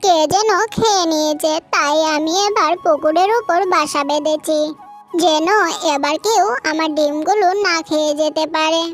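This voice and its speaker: Performer as female cartoon duck, tiny very high voice, childlike, trusting, plaintive, music behind. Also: normal speed, natural voice. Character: trusting female duck, anxious mother guarding her eggs.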